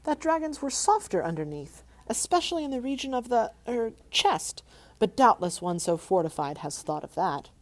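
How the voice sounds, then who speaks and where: A woman voicing a small, nervous male hobbit who speaks in a frightened, high squeak and flatters. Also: normal speed. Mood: flattering.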